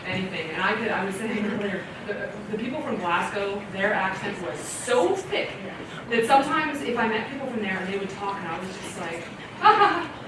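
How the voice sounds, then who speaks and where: A chatty woman from Canada answers audience questions at a library talk, laughing often and acting out her own reactions. She is heard from across a very echoey room. Loud steady noise sits under her voice. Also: medium pitch, moderate pace, far from the mic.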